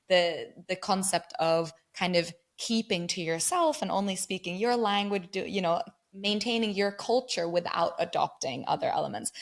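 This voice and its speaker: A woman from England and Germany, a guest researcher, explaining ideas step by step in a thoughtful conversational way. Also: on the low side. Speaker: guest researcher on migrant mental health.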